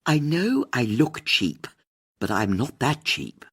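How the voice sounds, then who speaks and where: A woman reader puts on an older aristocratic divorcee in an audiobook. She is bitter, haughty and weary, with a husky voice. Her pitch moves freely as she scorns those around her. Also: big pitch swings, takes it slowly, full character voice. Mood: indignant. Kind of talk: audiobook character dialogue.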